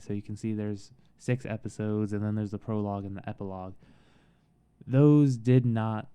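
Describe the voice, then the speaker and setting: Man, soothing mellow voice, casual halting movie review, relaxed. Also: on the low side, smooth. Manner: halting, relaxed, with frequent pauses and restarts.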